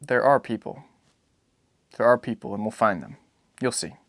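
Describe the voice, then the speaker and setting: Man acting a weary gentle father, lowish voice, dry close sound, brief patient replies, dramatic audiobook. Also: expressive, moderate pace, no room sound. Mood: reassuring. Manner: brief, patient, hesitant answers. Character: weary, protective, gentle father of young boy.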